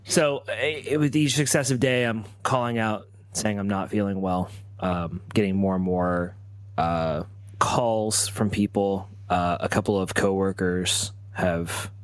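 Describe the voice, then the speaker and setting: Low-voiced man telling a story haltingly. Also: steady tone, no room sound. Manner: halting, often repeating words. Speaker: tabletop role-player. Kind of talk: improvised in-character storytelling in first person.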